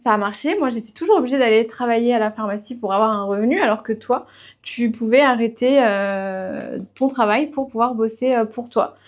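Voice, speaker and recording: A young woman with a fairly high voice talks as a podcast guest. She tells her own story in a relaxed, conversational style, as in an informal chat. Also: brisk, muffled recording. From of Portuguese family background. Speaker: podcast guest, freelance web writer.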